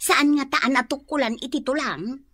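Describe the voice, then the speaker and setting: A woman acting a cheeky, excitable young niece in a radio comedy drama, with an Ilocano accent. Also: high-pitched, slow, dry recording. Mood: teasing.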